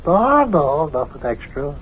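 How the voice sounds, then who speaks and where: A folksy, genial cabbie in a vintage radio serial for children. His voice sits fairly high and he rambles along in an easygoing way. The recording is muffled and old. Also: big pitch swings, unhurried, a little hiss or hum.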